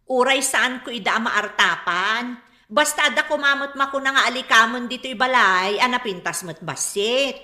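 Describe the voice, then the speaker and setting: A woman's voice: a little high, moderate pace, bright, forceful tone, echoey room. A woman with a Filipino accent playing a defensive, spendthrift mother who argues dramatically in a comedy radio drama. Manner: argumentative, dramatic.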